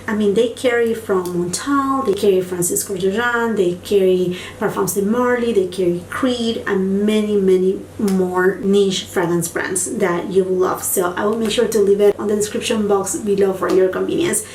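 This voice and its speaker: A woman's voice: mid-range voice, steady tone, normal speed, few pauses, a little mellow, far from the mic. Lady gushing bout products, echoey room w faint bg hum. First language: Spanish. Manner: enthusiastic, gushing, repeats words for emphasis. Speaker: beauty vlogger. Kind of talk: casual product review.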